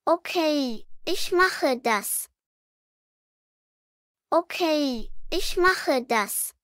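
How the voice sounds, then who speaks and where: A woman doing a high little sick girl. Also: expressive, fairly bright tone, no echo, studio quality, exaggerated voice. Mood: polite. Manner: slow, clearly spoken lesson lines. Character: young girl patient, polite and sick.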